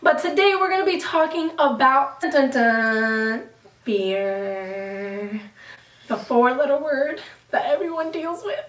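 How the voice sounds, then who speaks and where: Upbeat female channel host, high pitch, recorded at a distance in an echoey room. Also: lively intonation, slow pace. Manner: upbeat, chatty, playful. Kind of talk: casual chat.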